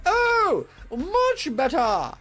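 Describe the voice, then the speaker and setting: A man voicing a flighty elderly queen in a storybook reading, with a squealing, drawn-out falsetto that trails into a bleat. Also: big pitch swings, soft background music, exaggerated voice. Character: flighty elderly queen, shrill, turning into a sheep.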